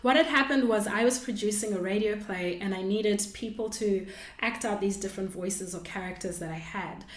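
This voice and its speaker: A woman hosting a podcast, talking conversationally in an earnest, reflective and expressive way, both narrating and interviewing. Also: medium pitch, moderate pace. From Australia, lived in Perth before Melbourne. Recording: roomy, echoing sound.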